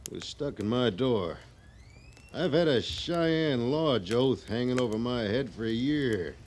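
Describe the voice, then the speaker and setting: An actor playing a bitter, vengeful frontier army scout with rough rustic talk, in the style of an old radio western. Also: male voice, mid-range voice, lively intonation, unhurried, a little mellow, close to an everyday voice. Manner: rough rustic grammar, insistent. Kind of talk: 1950s radio western acting. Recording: noisy old radio drama recording.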